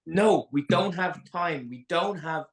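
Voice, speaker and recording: Actor as slow, creaky-voiced man in crisis. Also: on the high side. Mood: panicked, urgent. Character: shaken man taking charge of a crisis. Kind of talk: live screenplay table read acting.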